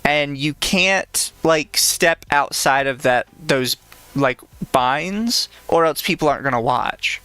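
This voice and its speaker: Blunt, sarcastic man with a bright voice. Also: on the high side, slow pace, clean tone, no echo. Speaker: podcast co-host. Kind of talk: casual podcast chat.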